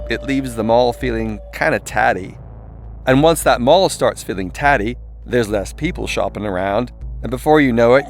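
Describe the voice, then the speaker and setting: A man reading his own irreverent self-help audiobook in a theatrical, cheeky, conversational way, with sweary asides. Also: medium pitch. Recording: voice over background music. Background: composed music and soundscape.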